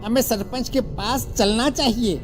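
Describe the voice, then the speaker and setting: Scheming villager, female actor for horror cartoon: anxious, pleading, somewhat high, unhurried. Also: faint music behind, close to an everyday voice. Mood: alarmed. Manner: deferential, pleading, slow. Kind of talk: animated horror story voice acting.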